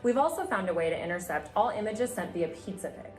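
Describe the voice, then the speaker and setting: A woman's voice: medium pitch, lively intonation, fast, soft background music. Businesslike woman in an echoey room. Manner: crisp, businesslike. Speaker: agent in a comedy sketch. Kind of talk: sketch comedy acting.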